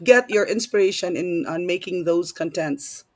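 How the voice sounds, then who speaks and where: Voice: medium pitch, moderate pace. A host asking guests questions casually, with short encouraging reactions. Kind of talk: casual interview on a livestream.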